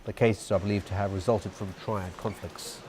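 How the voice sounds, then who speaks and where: A man reading the evening news as anchor, in a steady, formal delivery. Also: on the low side, moderate pace, a little hiss or hum.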